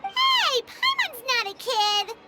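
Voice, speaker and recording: Tiny childlike game mascot: very high squeaky voice, swooping intonation, naive, curious, excitable. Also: female voice, takes it slowly, heavy background noise, full character voice. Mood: indignant. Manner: refers to self in third person, exclaiming. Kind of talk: video game voice acting.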